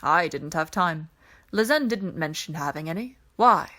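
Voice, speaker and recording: A woman voicing a weary, dryly teasing alien man in an audiobook, with a measured, quiet delivery. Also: sits low, expressive. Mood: quiet, solemn. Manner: dry, measured, quiet explanations.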